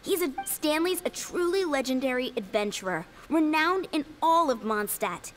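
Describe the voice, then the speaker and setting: A woman's voice: high-pitched, animated, normal speed. A woman playing an eager, earnest young would-be knight in an anime-style video game. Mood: tired, friendly. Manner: enthusiastic, earnest.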